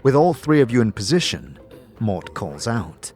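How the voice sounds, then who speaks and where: A man giving dramatic, descriptive second-person narration for a fantasy audio drama, deliberate and theatrical. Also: mid-range voice, big pitch swings, darkish tone. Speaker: narrator and game-story performer. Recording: faint music behind the voice. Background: faint music.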